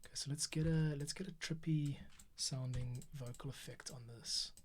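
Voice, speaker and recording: A man's voice: fairly high pitch, fairly even intonation, normal speed, a little hiss or hum. A man giving a casual editing tutorial, thinking aloud and muttering to himself as he works at his keyboard. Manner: muttering to himself while working. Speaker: podcast editor giving a tutorial. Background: keyboard typing and mouse clicks.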